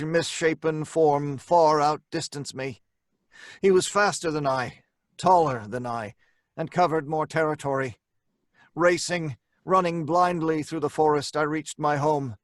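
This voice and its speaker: Guilt-ridden scientist, played by a man, slightly high pitch, dramatic earnest delivery, radio horror tale, faint musical backing. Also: dry recording, clean studio sound, natural voice. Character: tormented, guilt-ridden scientist telling his tragic story. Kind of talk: old time radio horror acting and narration.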